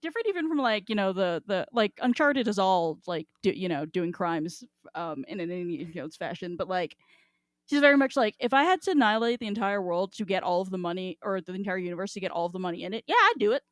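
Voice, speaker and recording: A woman's voice: medium pitch, expressive, quick pace, no echo, studio quality. A woman co-hosting a podcast in casual chat, effusive and rambling, swearing freely. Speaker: podcast co-host.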